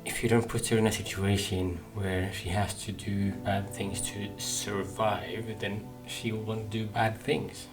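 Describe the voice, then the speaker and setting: A man's voice: on the low side, level tone, measured pace, hardly any pauses, poor audio. A man giving casual commentary while he plays a video game. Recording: game music loud behind the voice. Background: video game music.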